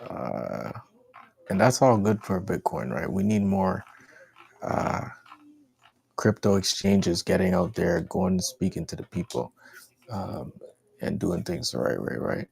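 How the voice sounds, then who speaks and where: A man's voice: deep voice, big pitch swings, a little slow, gravelly, pauses often, a little mellow, husky. A man hosting a livestream, giving casual, slangy, repetitive commentary and talking to his chat. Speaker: livestream host.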